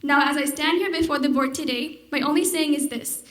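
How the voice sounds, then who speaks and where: A teenage high school senior giving an earnest, formal prepared speech at a podium mic on a theater stage. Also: female voice, fairly quick, fairly bright tone. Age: teens. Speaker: student speaker. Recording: roomy theater sound.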